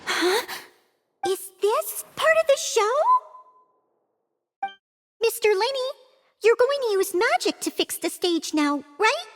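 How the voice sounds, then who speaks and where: A woman voicing a tiny, curious, excitable childlike companion in a video game, wide-eyed in manner. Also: very high-pitched, takes it slowly, pauses often, full character voice. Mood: alarmed, confused. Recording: reverberant hall, music behind.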